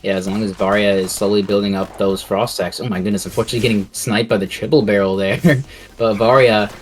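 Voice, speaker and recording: A man's voice: on the low side, steady tone, quick pace. A man giving live, casual esports commentary in a loose, conversational way, often repeating his phrases.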